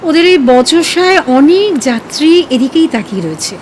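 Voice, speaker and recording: A woman narrating a scripted audio story in an expressive, emotive, dramatic way. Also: sits high, normal speed, a little hiss or hum.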